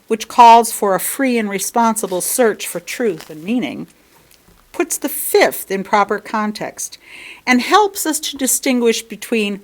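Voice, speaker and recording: American woman reading a sermon, measured delivery. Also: medium pitch. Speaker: lay speaker reading a sermon. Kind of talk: sermon read aloud from a script.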